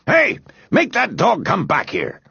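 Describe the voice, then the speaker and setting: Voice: medium pitch, wildly swooping pitch, unhurried, vocal fry, sharp tone, slightly rough, no echo, highs cut off, pitched above the performer's own voice, full character voice. A narrator voicing a grumpy, outraged neighbour man in a storybook, bellowing and snapping. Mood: angry.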